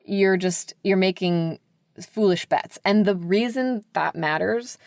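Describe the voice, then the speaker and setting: A woman's voice: mid-range voice, takes it slowly, vocal fry, a little mellow, no echo, clean studio sound. An American woman speaking candidly and emphatically as an editor being interviewed on a podcast, peppering her talk with "like". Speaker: book editor, podcast guest.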